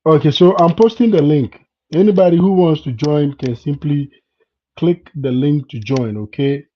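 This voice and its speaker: A man's voice: on the high side, normal speed. A man from Ghana chatting live with viewers, repeating words and often asking if they understand. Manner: keeps checking in with "you understand?". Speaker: vlogger hosting a live chat. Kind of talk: casual live-stream chat with viewers. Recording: dull lo-fi sound.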